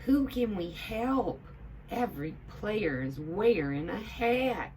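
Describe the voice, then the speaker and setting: A woman voicing an eager, helpful female talking hat in a children's story, with loud, drawn-out exclamations. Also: mid-range voice, expressive, slight background noise, pitched above the performer's own voice.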